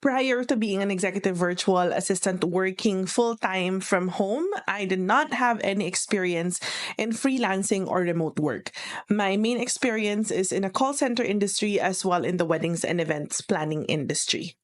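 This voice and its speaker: A young woman with a Filipino accent explains things step by step in an earnest, instructional tone. Her voice sits at a middle pitch, and she tends to repeat her key phrases as she goes. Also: normal speed. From Philippines. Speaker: virtual assistant operations manager. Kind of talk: instructional vlog talk.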